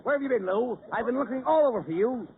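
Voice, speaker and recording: A man's voice: extremely high pitch, lively intonation, fast, phone-quality sound. A man playing the scolding, exasperated straight man in 1940s radio comedy, heard on a hissy old radio broadcast.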